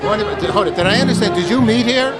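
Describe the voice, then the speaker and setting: A chatty, upbeat interviewer asking guests questions at a crowded event. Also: female voice, medium pitch, quick pace. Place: crowded party with music. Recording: noisy loud music and crowd.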